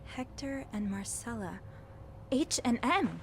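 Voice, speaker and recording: An actress playing a young hotel maid turned amateur sleuth, speaking gently and hesitantly, stammering now and then. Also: female voice, fairly high pitch, animated, takes it slowly, slight background noise.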